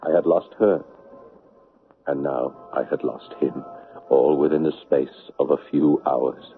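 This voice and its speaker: An actor playing a grief-stricken, bitter son in a 1970s radio drama, halting and anguished as he repeats himself. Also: a bass voice, fairly even intonation, soft background music. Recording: muffled, noisy 1970s radio broadcast.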